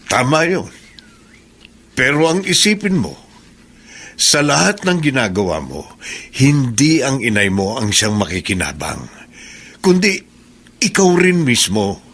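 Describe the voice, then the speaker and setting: A man's voice: mid-range voice, big pitch swings, a little slow, pauses often, fairly bright tone, heavily acted. A man with a Filipino accent playing a kindly, wise mentor who gives fatherly counsel in a radio drama. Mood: proud, advising. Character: kindly, wise male mentor to a young prisoner.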